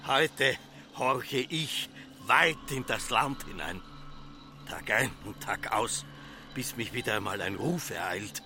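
An embittered Austrian farmer and father in a radio drama, lost in his obsessive tinkering. His voice is mid-pitched and his delivery is brooding, repetitive and incantatory, with music underneath. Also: lively intonation, slow pace, gravelly, bright, forceful tone, full character voice. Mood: rapt musing. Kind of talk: literary radio drama acting.

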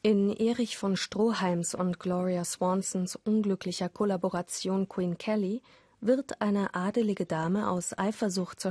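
A woman with a soft, slightly husky voice, telling a scripted radio story in a measured way. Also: medium pitch, no echo. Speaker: narrator. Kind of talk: scripted radio play narration in German. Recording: dry studio with music underneath. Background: music.

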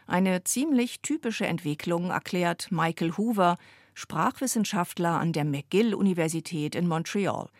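A woman reporting for a radio feature, narrating her script in a clear, even way. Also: lowish voice, no room sound, clean studio sound.

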